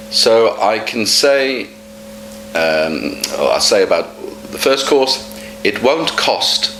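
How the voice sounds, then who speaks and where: A man teaching English in a relaxed, casual spoken lesson, humming the gaps for learners to fill. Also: on the low side, a little hiss or hum, poor audio. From Wales.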